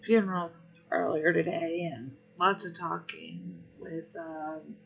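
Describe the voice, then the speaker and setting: A man hosting a relaxed livestream, greeting viewers one by one while his voice is going hoarse and rough. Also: on the high side, a little hiss or hum, rough recording. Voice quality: rough, gravelly. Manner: unhurried, friendly greetings between pauses. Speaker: livestream host. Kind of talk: relaxed gaming stream chat, greeting viewers.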